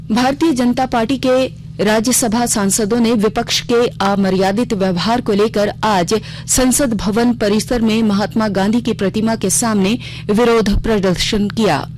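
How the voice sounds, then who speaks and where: A woman's voice: mid-range voice, a little mellow. A woman reading a formal scripted radio news bulletin as the anchor. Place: radio studio. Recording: clearly noisy regional radio broadcast.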